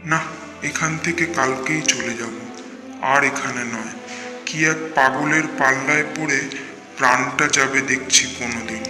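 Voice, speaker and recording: An actor playing an earnest, persistent but wary man seeking a guru, pleading and muttering doubts, in an animated horror story. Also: mid-range voice, normal speed, natural voice. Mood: uneasy, muttering. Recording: echoey reverberant voice over clear music.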